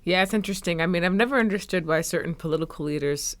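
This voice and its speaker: A woman's voice: lowish voice, fast. A woman hosting a conversational interview, putting questions and comments to a guest. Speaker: podcast host interviewing a guest.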